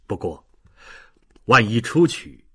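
A man's voice: fairly low pitch, big pitch swings, husky, pitched below the performer's own voice, full character voice. A man voicing grave, proud men from a historical novel in a weighty, dramatic manner. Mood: grave, calm. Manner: slow, dramatic, weighty delivery.

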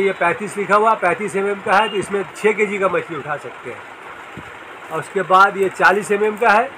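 A man with a high voice demonstrates products in his fishing tackle shop on a phone camera held close. He goes through each size and strength plainly, often repeating himself. Clear street and traffic noise runs behind him. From India. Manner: repetitive, explains sizes and strengths plainly.

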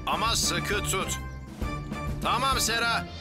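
An actor playing a calm, reassuring young heroic firefighter in a children's cartoon dub, giving clear instructions. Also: male voice, high-pitched, slow. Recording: loud music behind the voice.

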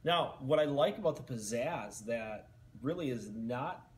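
Deadpan man doing mock cooking commentary. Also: medium pitch, measured pace, slight background noise. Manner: deadpan, earnest infomercial tone. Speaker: co-host. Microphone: headset.

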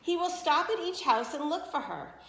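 A woman's voice: high voice, very animated, moderate pace, a bit bright, roomy sound, pitched above the performer's own voice, heavily acted. A woman voicing a scheming, gushing mother in a storybook, with a commanding, showy manner. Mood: scheming, bossy. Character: scheming, bossy, gushing mother of two daughters.

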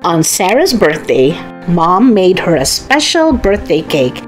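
A woman reading a children's storybook aloud, with careful, expressive enunciation. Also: fairly low pitch, measured pace, soft background music. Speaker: storybook reader. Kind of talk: children's read-aloud narration.

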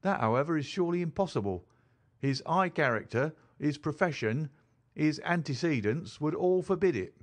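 A man's voice: fairly high pitch, lively intonation, moderate pace, pitched above the performer's own voice, close to an everyday voice. A man voicing a distressed, respectable mother who keeps a boarding house, dramatic and formal, in an audiobook reading. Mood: agitated, earnest.

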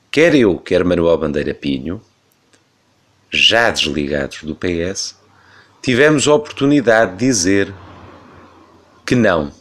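A man in his thirties speaking with a European Portuguese accent, building his points with emphatic, rhetorical flourishes. His voice sits on the lower side, yet his pitch swings widely as he stresses key phrases. It is the measured delivery of a regular radio opinion commentator.

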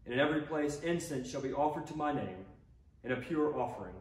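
A man officiating a church service, reading prayers and psalms in a measured, solemn way. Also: fairly high pitch, lively intonation. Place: inside a church sanctuary by the altar. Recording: roomy, distant mic with faint noise.

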